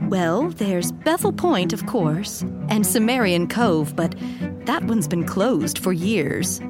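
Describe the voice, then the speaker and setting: An actress playing an obliging, deferential servant who is mild and helpful, in a gothic noir audio drama. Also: female voice, medium pitch, expressive, slow pace, loud music behind the voice. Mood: courteous, helpful. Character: courteous, obliging female servant, eager to help.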